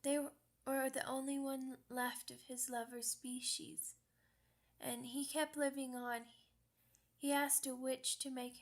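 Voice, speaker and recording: Lady doing a sad little werewolf pup girl for asmr, higher voice, really slow and hesitant, earnest. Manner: hesitant, childlike simple phrasing. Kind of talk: ASMR roleplay monologue.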